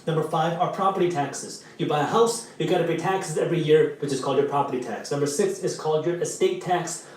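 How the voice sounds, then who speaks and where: A man who is an attorney, whose first language is Punjabi, lays out a scripted explainer in a clear, structured way. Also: fairly high pitch, a little mellow, far from the mic. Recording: echoey audio replayed from afar.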